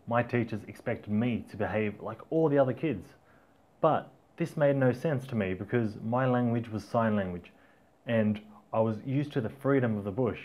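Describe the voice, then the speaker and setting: A man's voice: medium pitch, a little mellow. Reflective middle-aged Australian man narrating. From Australia (Tasmania). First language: Auslan. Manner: plain, reflective first-person storytelling with small restarts. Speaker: author narrating his own book. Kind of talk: audiobook narration.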